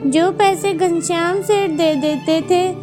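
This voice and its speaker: Children's story: earnest, plaintive young boy female performer, very high pitch, slow delivery. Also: fairly even intonation. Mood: worried. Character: troubled, trusting village schoolboy. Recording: music behind the voice. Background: music.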